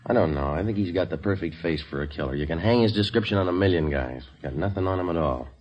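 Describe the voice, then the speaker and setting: An actor playing a terse, dogged police detective in 1950s radio drama style, clipped and matter-of-fact. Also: male voice, low voice, lively intonation, quick pace, slightly rough. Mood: weary, businesslike. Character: terse, dogged male police detective sergeant narrating his case. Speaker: detective and narrator. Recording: muffled old radio recording, music.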